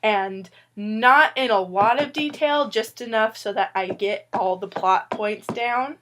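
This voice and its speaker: A woman's voice: fairly high pitch, slow pace, fairly bright tone. A woman under the weather walks viewers through how she makes her comics. She is a bit loopy and rambles with self-mocking jokes. Her talk stays casual and friendly while she is fighting off a cough. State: sick with a cough. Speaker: webcomic artist sharing tips. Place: indoors at a wooden table.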